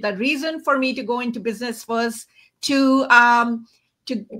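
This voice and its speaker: A woman hosts a casual online livestream in an Indian accent. Her voice sits high and has a bright, forward ring. She speaks as a presenter addressing her followers. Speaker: host of an online business show.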